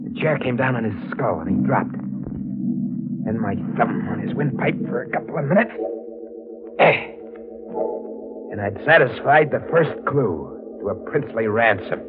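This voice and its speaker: An actor playing a greedy, menacing killer with blunt, slangy delivery, in 1940s radio drama style. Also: male voice, mid-range voice, animated, normal speed, long pauses, background noise, lightly acted. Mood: tense narration. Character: brash, greedy, menacing male killer narrating his crime. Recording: muffled vintage radio recording with music.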